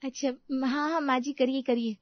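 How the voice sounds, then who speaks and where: A woman's voice: sits high, steady tone, normal speed, no echo, muffled recording, natural voice. A woman voicing an outspoken young daughter-in-law, teasing and sarcastic, in a cartoon story. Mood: feigned innocence. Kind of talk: cartoon moral story voice acting.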